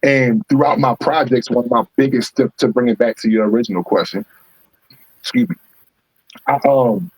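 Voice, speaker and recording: A man's voice: slightly rough, no echo, poor audio. A young adult man with a Baltimore accent, talking animatedly and with heavy profanity as a rapper guest on a podcast. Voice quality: fairly high voice for his size. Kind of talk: casual podcast conversation.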